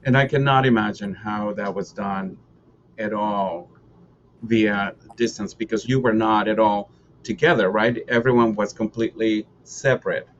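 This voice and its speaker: A man's voice: on the low side, steady tone, moderate pace. A man moderating a question-and-answer discussion in a conversational way over a video call. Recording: dull, noisy video-call audio.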